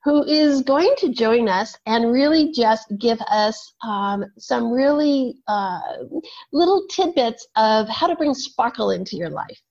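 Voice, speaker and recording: A woman hosting a podcast, asking questions in a halting way with many ums. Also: a little high, expressive, a little mellow. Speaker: podcast host. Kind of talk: casual interview chat. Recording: muffled lo-fi audio.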